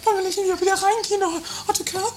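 A man's voice: extremely high pitch, level tone, quick pace, noisy background, pitched above the performer's own voice. A man voicing a dithery, fearful woman in an improvised travesty radio comedy, fussy and anxious. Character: dithery, fearful woman, Mathilde, sister-in-law. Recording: home-made 1980s radio play, music.

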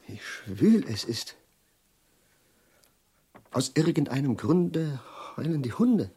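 An actor in a German radio play, playing an eccentric, brooding chemist who speaks abruptly and rants with irony. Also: male voice, on the high side, sing-song, slow, mellow, breathy edge, exaggerated voice. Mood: brooding, agitated. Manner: abrupt, exclamatory, ironic, swinging into rants. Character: eccentric male chemist, agitated, brooding, prophesying war.

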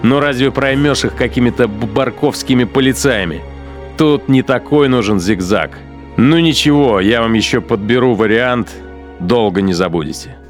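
A man's voice: medium pitch, normal speed, close to an everyday voice. An actor playing a cynical, menacing male battalion commander in a radio drama, musing coldly with coarse, sneering contempt. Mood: scornful, threatening. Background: music behind the voice.

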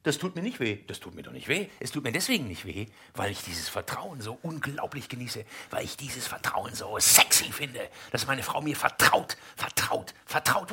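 A man's voice: mid-range voice, wildly swooping pitch, normal speed, pushed and bright, breathy edge, full character voice. An actor playing a preachy, dismissive married man in an improvised radio comedy, arguing insistently and cutting in. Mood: insistent, earnest. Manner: argumentative, dismissive, insistent, interrupting. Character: combative, preachy husband defending infidelity, married man.

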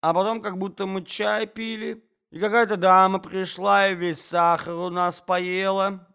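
A man's voice: sits high, level tone, measured pace, creaky, bright, forceful tone, clear, resonant tone, pitched above the performer's own voice, full character voice. A man voicing a frail, forgetful old prince who talks in a muddled, bewildered way.

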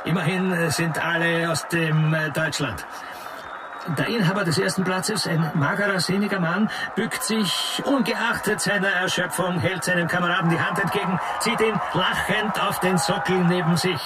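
An actor playing a male sports reporter giving a declamatory, halting live commentary on a victory ceremony. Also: high voice, steady tone, heavy background noise, natural voice. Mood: solemn excitement.

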